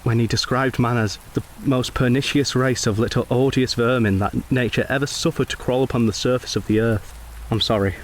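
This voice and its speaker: A man playing a brooding, principled young Edwardian gentleman soldier in a radio drama, speaking and narrating in a formal, intense, literary way. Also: medium pitch, steady tone, a little fast, few pauses, slight background noise, natural voice. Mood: angry, brooding.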